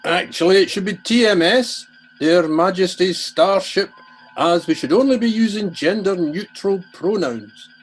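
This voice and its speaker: A man's voice: high voice, a little slow, faint music behind. A man playing an earnest, insistent know-it-all Home Guard crewman in a comedy radio play. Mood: correcting. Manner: corrective, insistent.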